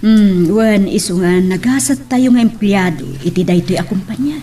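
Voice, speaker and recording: A woman playing an advising older auntie in an audio drama, with an Ilocano accent and an expressive delivery. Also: mid-range voice, moderate pace, slight background noise, lightly acted. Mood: matter-of-fact. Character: older auntie, a seasoned, advising overseas worker. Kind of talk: Ilocano radio-style drama acting.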